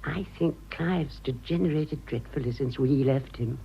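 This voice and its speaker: Husky, theatrical ageing society lady. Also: very deep voice, normal speed, highs cut off, full character voice. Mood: wistful. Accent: British. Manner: theatrical, gushing, aristocratic. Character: vain, sentimental, flirtatious ageing society lady. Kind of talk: radio drama acting.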